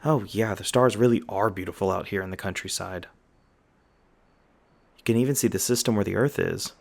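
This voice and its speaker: Man voicing a bashful, low-voiced young werewolf jock. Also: brisk, gravelly. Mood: friendly, awkward.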